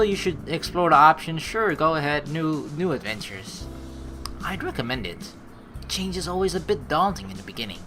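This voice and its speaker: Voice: medium pitch, bright, forceful tone. A let's play host from the United States, reading a visual novel aloud and rambling through running commentary over game music. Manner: rambling, thinks aloud, restarts and asides.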